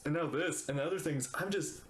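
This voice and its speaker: A man's voice: fairly high pitch, fairly quick, echoey room, slight background noise. A man giving casual, amused, rambling reaction commentary as he streams a video game.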